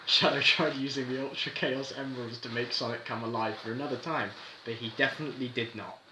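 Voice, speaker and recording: A man's voice: medium pitch, fairly even intonation, fast talker. A young man reading a story aloud to friends in an amused, informal way. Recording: noisy, echoey room recording with clicks.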